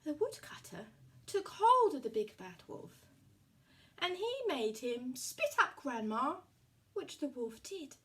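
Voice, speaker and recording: A woman telling a children's puppet story in animated fashion, with simple, repetitive phrasing aimed at small children. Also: sits high, takes it slowly, a little hiss or hum.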